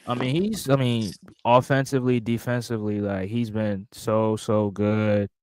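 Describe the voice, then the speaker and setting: A man co-hosting a casual sports chat, speaking in a measured, hedging way. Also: fairly low pitch, fairly even intonation, darkish tone, smooth, no room sound.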